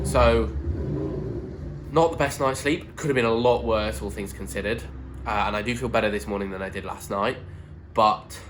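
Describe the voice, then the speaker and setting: A man's voice: on the low side, fairly bright tone, a little hiss or hum. A young man, giving frank, easygoing video diary updates to a handheld camera. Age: young adult. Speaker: student vlogger.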